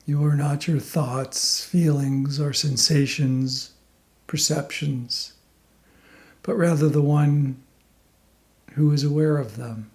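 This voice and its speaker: A male meditation teacher speaks in a voice pitched a little higher than most men's. His delivery is very slow and calm, laid out in short phrases with generous pauses, as he guides the listener through a meditation. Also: creaky.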